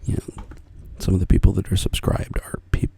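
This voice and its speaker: An older man chatting softly in a whisper as an ASMR artist, rambling and soft-spoken. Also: fairly quick, dry recording.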